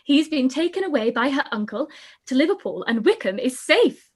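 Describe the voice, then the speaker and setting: A woman's voice: high-pitched, big pitch swings, normal speed. A woman playing a giddy, careless teenage girl who chatters in a live-read radio play. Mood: gossiping. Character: giddy, silly youngest sister, teenage girl.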